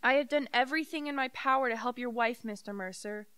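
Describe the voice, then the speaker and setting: A young woman playing a frank, formal, regretful doctor with a grave, measured manner in a radio play. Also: on the high side, fairly bright tone, clear, resonant tone, no echo, close to an everyday voice. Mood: grave, resigned. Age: young adult. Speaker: student actor.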